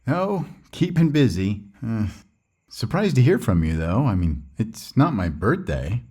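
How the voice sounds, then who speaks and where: A man acting as a dotty old widower dad, sweet and sheepish. He speaks slowly and haltingly in a mellow voice that swings gently up and down in this comedy drama. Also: fairly low pitch, big pitch swings, husky, heavily acted. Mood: genial, evasive. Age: older. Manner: doddering, halting, slow. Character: dignified but dotty elderly widowed father, sweet and sheepish.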